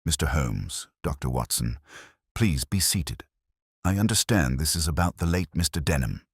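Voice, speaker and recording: A man voicing a wary, polite bank manager of sixty in a measured, formal manner. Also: rumbling low, lively intonation, slightly rough, dry recording, clean studio sound, heavily acted.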